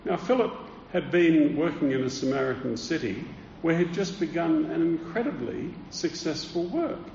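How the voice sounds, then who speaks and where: Male preacher, earnest and deliberate, slightly high-pitched, gravelly fry, echoing church hall. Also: lively intonation, darkish tone, a little hiss or hum. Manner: earnest, deliberate teaching with emphatic stresses. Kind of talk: sermon. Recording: roomy, dull church hall recording.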